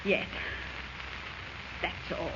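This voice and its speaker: An actress playing a scornful, defiant young captive woman, biting and indignant in 1940s radio style. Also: extremely slow. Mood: bitter scorn. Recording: muffled, hissy old radio recording.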